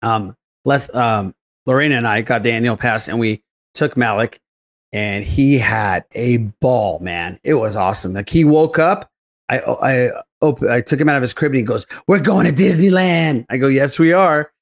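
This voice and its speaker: A man's voice: mid-range voice, moderate pace. A man hosting a podcast, chatting casually and telling loose, rambling stories in a blunt everyday way. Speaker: podcast host. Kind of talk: casual group chat.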